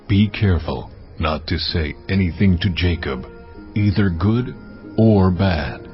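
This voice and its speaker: An actor playing a solemn, commanding God in a dramatized audiobook, with music and a slightly dull sound. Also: male voice, low voice. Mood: stern. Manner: solemn, deliberate warning.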